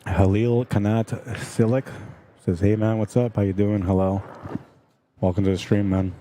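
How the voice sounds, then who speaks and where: A man commentating a pool tournament live stream in a calm, easygoing way, chatting and reading viewer comments aloud. His voice is soothing and relaxing. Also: fairly low pitch, moderate pace, a little hiss or hum. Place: pool tournament venue, indoors.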